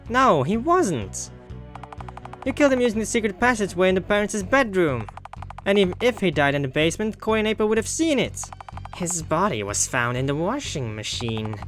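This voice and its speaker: A man's voice: high voice, very animated, a little fast, a bit bright, voice pushed up. Guy doing a villain girl in a game, sly n defiant, cold menace, bit of music behind. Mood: defiant denial. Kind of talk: dramatic visual novel character reading.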